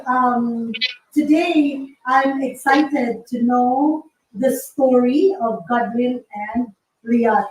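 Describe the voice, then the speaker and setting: A woman hosts an online chat with a bright, slightly high voice and an upbeat, welcoming manner. She sits back from the mic, and her voice rings around a bare, echoey room. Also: far from the mic. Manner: upbeat and welcoming, laughs often. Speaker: host. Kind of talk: online interview.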